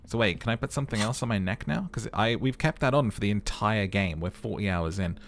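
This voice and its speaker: Deep, creaky-voiced male game narrator. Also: fairly quick. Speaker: game playthrough commentator and narrator. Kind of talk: dramatic narration and casual commentary.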